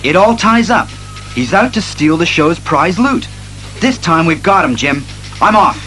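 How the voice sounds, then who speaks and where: An actor playing an earnest, methodical masked detective hero who reasons aloud in a declamatory audio drama style. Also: male voice, fairly high pitch, very animated, bright, forceful tone, soft background music, exaggerated voice, low-quality recording. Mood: earnest, resolute. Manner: deliberate, declamatory deduction.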